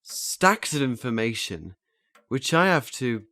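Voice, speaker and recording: A man's voice: mid-range voice, very animated, takes it slowly, sharp tone, no room sound, exaggerated voice. A man playing an earnest, flustered learner in a naturalistic audio drama, hesitant and stammering as he restarts his phrases. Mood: hesitant, eager. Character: earnest, flustered male learner eager to master memory techniques.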